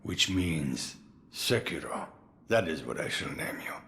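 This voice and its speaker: Slow, wildly swooping old swordsman. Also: a bass voice, very animated, creaky, pushed and bright, a little hiss or hum, exaggerated voice. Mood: menacing, amused. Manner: theatrical, slow, chuckling and commanding. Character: boisterous old swordsman in a tengu guise, menacing and amused. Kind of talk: video game cutscene acting.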